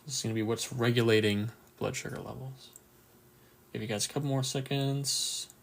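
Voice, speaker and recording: Man, gravelly croaky voice, mid pitch, sleepy, casual quiz tutor, encouraging. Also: fairly even intonation, normal speed. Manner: encouraging, reads out answer options and viewer replies. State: just woke up, a bit tired. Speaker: anatomy tutor running a live quiz. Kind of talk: casual livestream quiz review.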